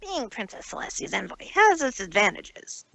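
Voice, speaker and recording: A woman's voice: a little high, sing-song, moderate pace, creaky, pushed and bright, dry recording, full character voice, poor audio. A woman voicing a confident young male baby dragon in a fan-made cartoon audio drama. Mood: confident, explaining.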